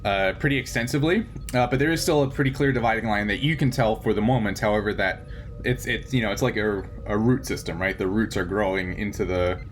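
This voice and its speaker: Guy running a tabletop game, casual and halting, chuckles a lot, bit of noise behind him. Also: on the low side. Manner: halting, conversational, often chuckling. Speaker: game master.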